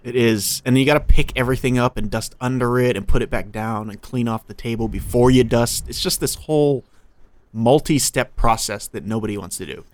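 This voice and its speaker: A man's voice: mid-range voice, brisk, dry recording. A man in his thirties co-hosting a podcast, joking and teasing in casual chat. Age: 30s. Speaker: podcast co-host.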